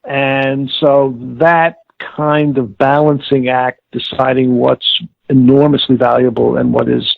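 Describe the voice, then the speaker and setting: A man giving reflective interview answers as an expert guest, halting and emphatic, repeating words for stress. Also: mid-range voice, little pitch movement, creaky, dry recording. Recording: narrow, tinny phone-like line.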